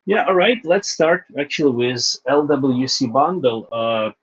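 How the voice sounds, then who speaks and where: A man's voice: medium pitch, unhurried, slightly rough, dull audio. A man from Ukraine giving a technical presentation on a video call, phrasing loosely with fillers and restarts. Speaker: guest speaker, Salesforce developer.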